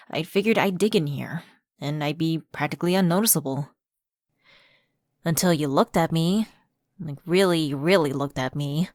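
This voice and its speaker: A woman's voice: lowish voice, dry recording, studio quality, close to an everyday voice. A woman playing a personal assistant who is secretly a fallen angel, evasive and coaxing, in a conversational ASMR roleplay. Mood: soft, regretful.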